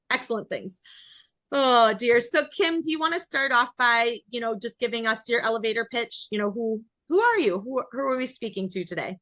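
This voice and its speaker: A woman's voice: fairly high pitch, bright, forceful tone, dry recording. An American woman hosting a podcast in a casual, chatty way, bubbly and joking at her own expense. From New York, United States. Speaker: podcast host.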